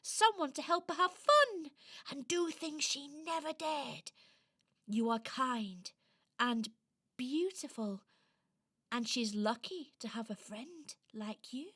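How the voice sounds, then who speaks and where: A woman playing a kind, encouraging Christmas tree fairy in a children's radio play, gentle, motherly and reassuring. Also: high-pitched, animated, slow pace, full character voice. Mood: tender, encouraging.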